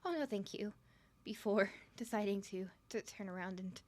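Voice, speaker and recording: A woman's voice: mid-range voice, moderate pace, natural voice. Woman acting shy, stammering, smitten young woman. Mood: shy, earnest. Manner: heavy stutter, flustered rambling, nervous giggles. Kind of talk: ASMR roleplay acting.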